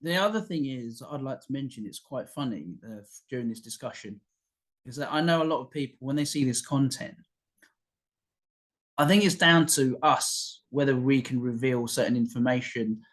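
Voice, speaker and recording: Rambling podcast host. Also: male voice, mid-range voice, normal speed, fairly bright tone. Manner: rambling, repeats words and restarts, jokey asides. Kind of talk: casual conversational chat.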